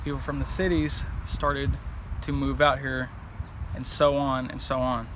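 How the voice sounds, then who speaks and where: A man's voice: mid-range voice, level tone, a little slow. A young man giving a scripted on-the-scene news report outdoors as a field reporter. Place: outdoors by a road and houses. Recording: noisy outdoor location audio.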